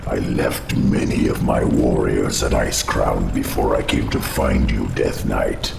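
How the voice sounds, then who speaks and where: An actor playing an ancient undead spider-lord who gives slow, solemn warnings in a deep gravelly rumble. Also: male voice, heavily acted. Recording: echoey game audio over score. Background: music.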